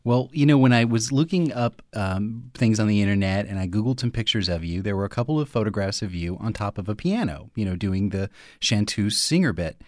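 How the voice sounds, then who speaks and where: Low-ish guy asking questions on a radio show, dry close sound. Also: expressive, a little fast, few pauses, no room sound. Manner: curious, conversational questions. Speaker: radio interviewer. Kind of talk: radio interview.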